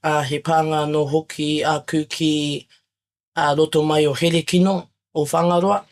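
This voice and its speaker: A young man from New Zealand answers in a measured, sincere way, pausing with small fillers. His voice sits fairly high and stays level and even. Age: young adult. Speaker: youth guest. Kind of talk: reflective interview answers.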